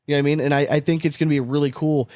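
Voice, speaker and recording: A man's voice: on the high side, level tone, racing, darkish tone, no echo. Blunt, profane man, casual podcast host. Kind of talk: casual sports banter.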